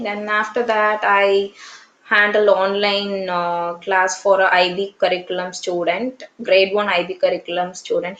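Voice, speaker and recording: A woman in her thirties from Tamil Nadu speaks English with a Tamil accent, her first language being Tamil. She talks in an earnest, reflective way, pausing on fillers and restarting her sentences. Her voice sits in a middle range and echoes around the room. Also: medium pitch, muffled recording. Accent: Tamil Indian English. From Tamil Nadu, India. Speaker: English trainer. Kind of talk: casual conversation practice. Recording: roomy echo.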